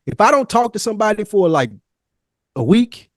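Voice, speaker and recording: A man with an American accent and a somewhat high-pitched voice talks casually on a podcast. The sound is dry, with hardly any room to it. He is candid and emphatic, with informal slang. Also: sing-song, moderate pace, dry recording. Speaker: podcast host.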